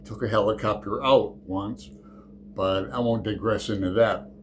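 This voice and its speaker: A man's voice: fairly low pitch, steady tone, unhurried, slight background noise. A middle-aged man hosting a casual book vlog, talking in a rambling, digressive way with fillers and self-corrections. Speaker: book channel host.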